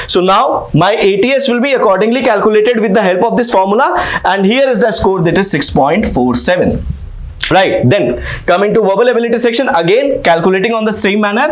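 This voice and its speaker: A high-voiced man explains exam score calculations at an unhurried pace. He poses questions to himself and then answers them. He keeps checking that his students are following. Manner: Hinglish, asks and answers his own questions; frequent "ठीक है?" check-ins. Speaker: exam coaching teacher.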